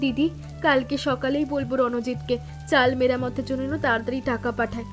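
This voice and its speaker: A woman playing a timid, grateful younger sister-in-law in an animated family drama, speaking in an earnest, emotional, deferential way. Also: high voice, fairly quick, natural voice. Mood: tender. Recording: music bed with rain sounds.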